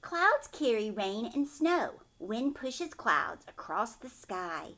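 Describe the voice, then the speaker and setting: A woman in her thirties reading aloud and explaining to young children in an expressive way, asking simple questions, recorded at home on a webcam. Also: medium pitch, creaky, low-quality recording. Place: living room at home, indoors. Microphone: webcam or laptop at arm's length.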